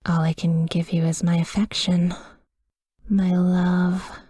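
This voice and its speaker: A woman playing a tender, tormented vampire lover in a slow, breathy, whispered ASMR roleplay, hushed and intimate. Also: fairly low pitch, flat delivery, no echo, clean studio sound. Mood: loving, melancholy. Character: tender, tormented female vampire lover watching over her sleeping partner.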